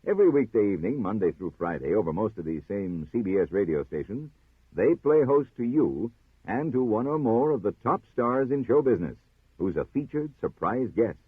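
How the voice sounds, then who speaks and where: Male 1950s radio announcer, low pitch, husky edge, polished promotional delivery, tinny narrow-band recording. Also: sing-song, fairly quick, no echo.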